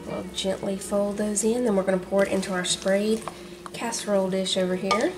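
Woman, Southern accent, casual cooking vlog host, home kitchen, loud music behind. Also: mid-range voice, flat delivery, normal speed, recorded from a distance. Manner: chatty, addresses viewers as y'all.

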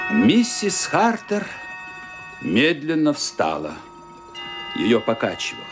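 A man narrating a radio play in a dramatic, suspenseful reading style. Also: fairly high pitch. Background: music underneath.

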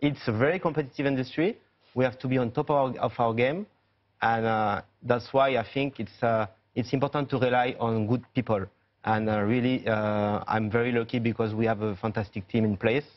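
A man's voice: mid-range voice, fairly even intonation, brisk, highs cut off. Hesitant man, chef as news guest. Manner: hesitant, many fillers and restarts. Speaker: chef and restaurant owner. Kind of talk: studio news interview guest. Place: TV news studio.

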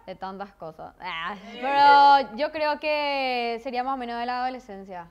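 A young woman, answering interview questions in a giggly, animated way on a lapel mic. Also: fairly high pitch, normal speed, smooth, highs cut off. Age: young adult. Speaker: interview guest. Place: dark studio set, seated.

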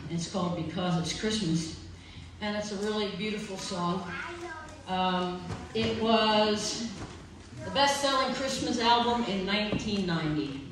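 An older woman directing a choir, chatting informally as she introduces the next songs. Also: medium pitch. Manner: chatty, informal, self-deprecating. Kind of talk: announcing songs at a concert. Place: large church hall with a choir. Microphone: far phone camera in the pews. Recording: echoey hall from far off, noisy.

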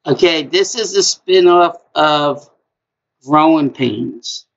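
Slow, gravelly man with a high voice. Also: highs cut off, soft background music. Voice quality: high-pitched voice. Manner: slow, repetitive, chatty. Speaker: trivia game host. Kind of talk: live-stream trivia hosting. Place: small home room, indoors.

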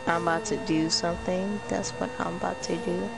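A man's voice: high-pitched, level tone, a little fast, gravelly, over loud music. A man streaming a video game live, chatting casually and teasing a friend who joins him. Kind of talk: casual live gameplay chat.

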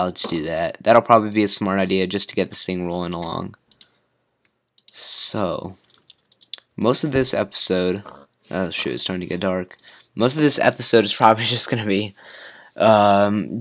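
A teenage boy with a hoarse voice from a cold, rambling casually with plenty of fillers while commenting on his building game. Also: on the low side, a bit bright. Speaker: gamer.

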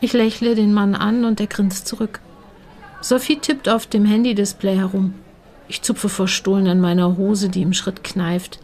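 A woman's voice: medium pitch, little pitch movement, moderate pace, a little mellow. A woman reading a scripted first-person short story. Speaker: story narrator.

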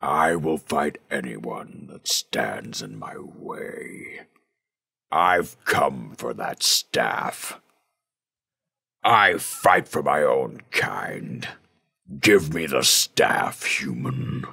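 A man voicing a giant heroic robot leader, stern and commanding, with a deep gravelly voice processed lower and bassier. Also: pushed and bright, heavily acted. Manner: slow, menacing, commanding delivery. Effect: pitched-down, bass-boosted processing.

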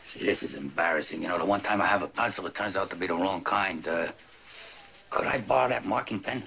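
An actor playing a rumpled middle-aged police detective in a crime drama, hesitant, digressive and deferential. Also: male voice, sits low, quick pace, pushed and bright, a little hiss or hum. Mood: rambling, sheepish. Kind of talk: television crime drama acting.

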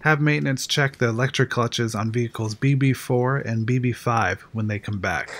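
A tense, chain-smoking park engineer, as a man acts him out, guarded and matter-of-fact. His voice sits at an ordinary mid pitch. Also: moderate pace, a bit bright, natural voice. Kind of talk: fan audio drama acting.

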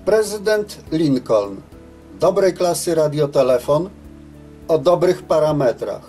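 A man giving a scripted technical narration as a reviewer, in a measured, matter-of-fact way. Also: fairly high pitch, expressive, warm tone, soft background music. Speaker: reviewer of CB radios.

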